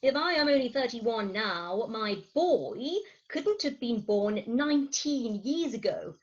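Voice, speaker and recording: Woman as fretful Victorian farce wife, high voice, husky, theatrical, emphatic, dull call audio. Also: lively intonation, a little slow. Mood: flustered confession. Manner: melodramatic, confiding, emphatic. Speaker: actor in an amateur staged reading. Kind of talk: Victorian farce stage acting. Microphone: video call.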